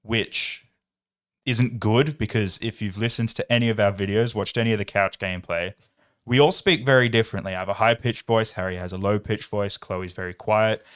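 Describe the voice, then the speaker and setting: A young man trades jokes and chatter as a podcast co-host. His voice sits somewhat low, and he talks in a loose, joking way, often breaking into laughter. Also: moderate pace, fairly bright tone, clean tone. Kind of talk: casual chat.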